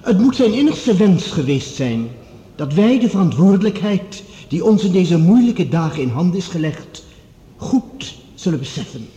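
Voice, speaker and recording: Mellow, grandly formal professor in echoing room. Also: male voice, high-pitched, big pitch swings. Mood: solemn oratory. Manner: formal, measured, oratorical. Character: smooth, calculating male professor, grandly formal rival scientist. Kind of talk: radio drama acting.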